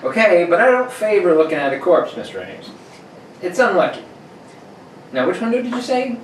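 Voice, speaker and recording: A woman's voice: fairly low pitch, very animated, normal speed. A woman playing a superstitious, deferential maid with a folksy manner in a radio play reading. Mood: reluctant, doubtful. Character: plain-spoken superstitious maid, deferential and doubtful. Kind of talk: radio play read aloud. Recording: roomy, noisy far microphone.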